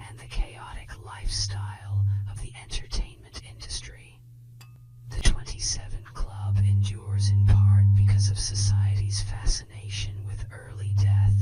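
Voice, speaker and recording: A woman whispers a scripted ASMR bedtime narration right up close to the microphone. Her delivery is soothing and measured, staying hushed and breathy from phrase to phrase. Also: high-pitched, rough recording. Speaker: ASMR host.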